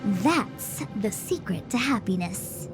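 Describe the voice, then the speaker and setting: A woman's voice: medium pitch, animated, slow pace. A woman playing a laid-back, chatty young diviner's aide in a video game, talking in a casual, breezy way. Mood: breezy, carefree. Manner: casual, breezy, drawling slang. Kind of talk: video game cutscene acting. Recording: loud music behind the voice. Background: music.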